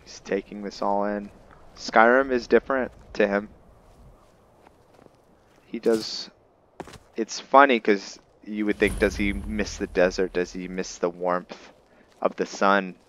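A man with a fairly low voice talks very slowly through his roleplay game. He muses and halts as he thinks aloud, reflecting as he plays. Faint rain and thunder from the game sit behind him. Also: fairly even intonation, a bit bright, a little hiss or hum. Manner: musing, halting, thinking aloud. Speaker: gamer narrating a roleplay playthrough. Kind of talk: unscripted reflective gameplay commentary. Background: game ambience of rain and thunder.

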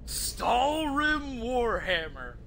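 A man's voice: extremely high pitch, big pitch swings, extremely slow, gravelly, pushed and bright, slight background noise. A man giving casual, informal video game commentary with a foul-mouthed manner. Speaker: gaming video host.

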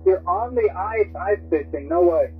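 An American man chatting casually as a radio amateur, halting and folksy, heard over a shortwave radio. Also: high voice, huge pitch swings, faint music behind. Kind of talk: casual ham radio chat. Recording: narrow, garbled shortwave radio reception. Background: radio transmission heard through a speaker.

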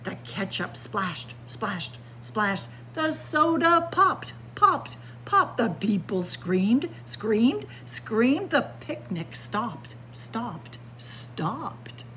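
A woman's voice: a little high, big pitch swings, extremely slow, hardly any pauses, sharp tone, a little hiss or hum. A woman in her sixties, a children's librarian, reading a picture book aloud in a library, chanting the rhymes in a sing-song way with playful asides, close to a webcam. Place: library with bookshelves. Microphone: webcam at close range.

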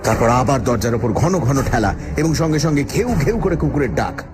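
A man's voice: mid-range voice, expressive, a little fast, natural voice. A man playing a frightened first-person narrator in a dramatic horror story, telling of his haunted-house ordeal. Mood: fearful, tense. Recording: loud eerie music and effects behind.

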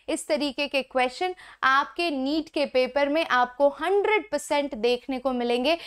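Young Indian woman, high pitch, chemistry teacher talking to camera, emphatic and encouraging, clip-on mic. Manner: encouraging, emphatic, mixes Hindi and English; gestures with both hands while talking. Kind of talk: exam-prep lesson to camera. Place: indoor studio before a digital whiteboard.

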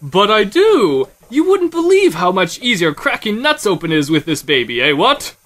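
A man acts out a fussy, pompous captain for a comic audio play. The pitch is high for a man and swings widely, and the delivery is stuffy and old-fashioned. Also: wildly swooping pitch, normal speed, fairly bright tone, full character voice. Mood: pompous, boastful. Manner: stuffy, ending remarks with a verbal tic. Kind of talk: comic audio drama acting.

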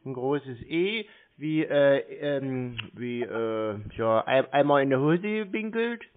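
Male phone talker, slow and dithering, playing clueless, thin telephone audio. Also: mid-range voice, animated. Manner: dawdling, feigned cluelessness. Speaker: prank caller toying with phone scammers. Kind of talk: slow, hesitant phone conversation, spelling things out. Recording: tinny narrowband phone line.

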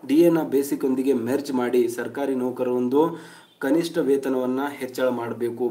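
A man's voice: mid-range voice, warm tone. A man giving a news explainer as a voice-over, reading from an article and mixing English words into his talk. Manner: reads aloud, mixing English words into Kannada. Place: voice-over over a screen recording.

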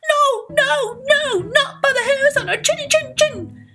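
A woman's voice: very high voice, measured pace, pushed and bright, voice pushed up, heavily acted. A woman voicing a little male pig from a children's storybook, frightened but defiant and squealing. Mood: scared defiance.

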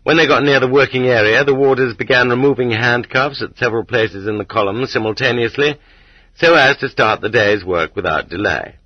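A man narrates a nonfiction audiobook in a very low voice. His tone has a husky grain. The recording is dry, with the dulled, lo-fi sound of a cassette transfer. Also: no room sound. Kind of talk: scripted narration of a nonfiction book.

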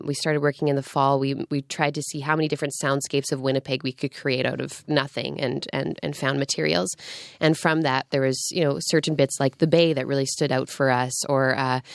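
Woman chatting in an interview, pretty low voice, dry close studio. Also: dry recording. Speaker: theatre producer, interview guest. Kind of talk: casual radio interview.

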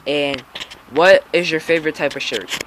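A man asks relaxed, hesitant questions from behind a handheld camera, often pausing with "um" and "all right". His voice sits a little high for a man. The outdoor audio carries a clear hiss of background noise. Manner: laid-back, hesitant, fills pauses with "um, all right". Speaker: interviewer filming a young skater. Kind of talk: casual off-camera interview. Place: outdoors on a house porch.

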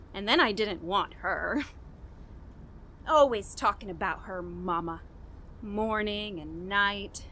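Radio horror lead: unhinged, guilt-ridden murderess, high sharp voice, halting confession, some background noise. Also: female voice, heavily acted. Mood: despairing. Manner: dramatic, halting, broken by manic laughter. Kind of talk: old-time radio horror drama monologue.

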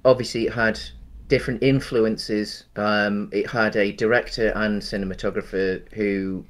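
A man's voice: on the low side, little pitch movement, moderate pace. A man in his thirties, co-hosting a casual film chat over a headset at home, talking with many ums and restarts. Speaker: podcast co-host. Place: home room, indoors.

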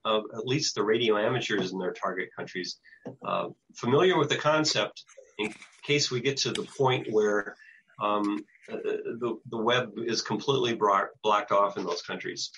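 An older American man giving an informal presentation and answering questions in a halting, hesitant way over a video call. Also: lowish voice, a bit bright, a little hiss or hum. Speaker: presenter, radio show producer. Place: small home office with bookshelves, indoors. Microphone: computer mic on a video call. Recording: dull lo-fi video call audio.

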